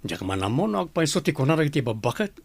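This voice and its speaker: A man's voice: on the high side, sing-song, quick pace, gravelly, breathy edge, dry recording, heavily acted. A man playing a chatty, nosy Ilocano villager in a comedy radio drama. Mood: gossipy.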